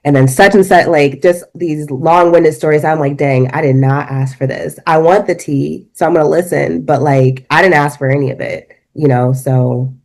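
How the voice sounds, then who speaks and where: A woman's voice: low voice, steady tone, a little mellow. A woman co-hosting a podcast, talking casually with lots of fillers and rambling asides. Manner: rambling, filler-heavy, quick bursts. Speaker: podcast co-host. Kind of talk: casual chat.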